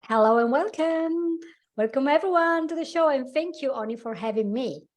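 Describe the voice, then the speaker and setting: Italian-accented woman, animated and laughing. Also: sits high, normal speed. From Italy. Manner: animated, laughs often, slightly broken English. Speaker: guest, coach and hypnotherapist. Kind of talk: casual interview chat.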